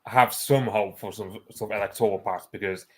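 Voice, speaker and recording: A man's voice: fairly low pitch, fairly even intonation, fairly quick, fairly bright tone. A man in a casual online political chat, speaking hesitantly with restarts.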